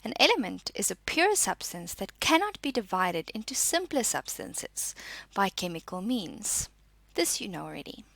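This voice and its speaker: Bright-voiced woman teaching a school lesson. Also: mid-range voice, sing-song, moderate pace, creaky, no room sound. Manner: instructional, poses questions and answers them. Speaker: science teacher. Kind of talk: school lesson, reading notes and explaining exercises.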